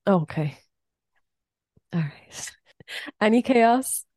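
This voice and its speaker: A woman co-hosting a podcast with quick, giggly, chatty talk. Her mid-range voice sits a touch deeper than usual after a night out. She is recorded close on a podcast mic in a dry room. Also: animated, warm tone, dry recording. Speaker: podcast co-host. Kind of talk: casual chat. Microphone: podcast microphone.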